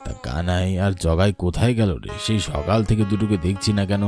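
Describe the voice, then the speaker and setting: A man voicing a loyal old cowherd in a cartoon, low, weary and fretful, with music behind him. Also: brisk, warm tone, natural voice. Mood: worried. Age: older. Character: worried, loyal old male cowherd on a farm. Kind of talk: cartoon voice acting.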